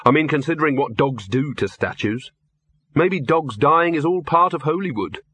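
A man voicing a young man who muses in a flat, distant way. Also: on the high side, moderate pace, slightly rough, no echo. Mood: musing.

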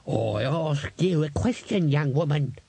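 A man's voice: on the high side, lively intonation, dark tone, slightly rough, dry recording, pitched above the performer's own voice, heavily acted. A man putting on a cranky old manservant. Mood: grumpy. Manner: bawling, quarrelsome, slow drawn-out. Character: cranky old male manservant, gruff, suspicious.

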